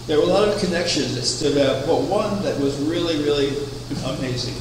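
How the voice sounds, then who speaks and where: An older man preaching a sermon from a pulpit, measured and anecdotal, asking rhetorical questions. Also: a little high, expressive. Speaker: preacher, founder of a religious order. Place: chapel, at a wooden pulpit. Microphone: far camera. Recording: echoey chapel from far off, air conditioning hum.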